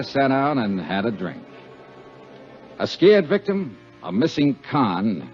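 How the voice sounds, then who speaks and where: An American actor playing a wry, hard-boiled insurance investigator in a 1950s radio drama, with clipped, punchy delivery. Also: male voice, medium pitch. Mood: wry, dramatic. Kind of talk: 1950s radio detective drama acting, narrated expense account. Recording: dull 1950s radio recording with music.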